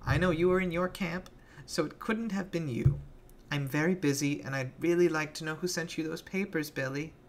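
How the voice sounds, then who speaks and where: Man putting on a high, sweetly cruel monster woman. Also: moderate pace, lightly acted. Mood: menacing, taunting. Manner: sing-song mock politeness, taunting. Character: cruel, mockingly sweet deranged female monster tormenting children.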